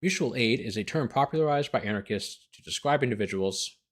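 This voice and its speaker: A man's voice: medium pitch, expressive, a little fast. A man hosting as a lawyer, reading a document aloud and breaking off for incredulous, sarcastic commentary. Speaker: lawyer host.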